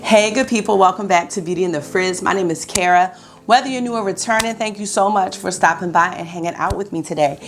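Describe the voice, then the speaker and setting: A woman's voice: lowish voice, quick pace. A woman in her thirties chatting casually to camera as a beauty vlogger from her bedroom, upbeat and talkative, her voice a little scratchy this early in the morning. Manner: chatty, upbeat, addresses viewers as y'all. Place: bedroom at home, indoors.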